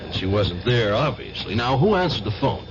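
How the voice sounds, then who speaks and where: An actor in a radio drama playing a methodical police lieutenant, deliberate and official as he questions a suspect. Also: mid-range voice, sing-song. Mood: probing, measured. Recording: muffled, noisy old radio recording.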